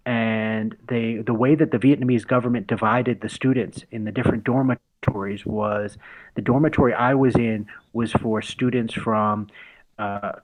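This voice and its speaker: A man's voice: fairly low pitch, fairly even intonation, moderate pace, poor audio. A man in his forties from the United States, a podcast guest telling stories in casual conversation, laughing often and restarting with fillers. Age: 40s.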